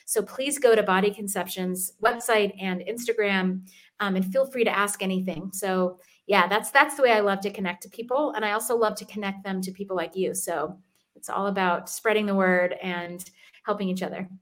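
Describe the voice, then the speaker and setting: A woman's voice: mid-range voice, fairly even intonation, normal speed. A middle-aged woman, a fitness expert, speaking as an interview guest in an earnest, chatty way with frequent ums and restarts. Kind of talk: casual interview talk.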